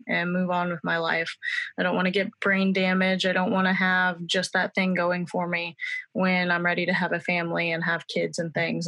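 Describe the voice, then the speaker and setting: A woman's voice: on the low side, a bit bright. A woman in her twenties answering interview questions in a chatty, confident way, with many fillers. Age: 20s. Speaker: mixed martial arts fighter and student.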